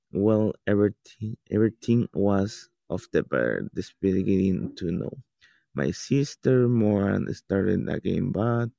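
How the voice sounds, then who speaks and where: Slow, gravelly-voiced teenage boy. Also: fairly low pitch, mellow, dry recording. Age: teens. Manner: halting, non-native English with restarts. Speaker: student telling his story. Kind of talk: reading a personal account.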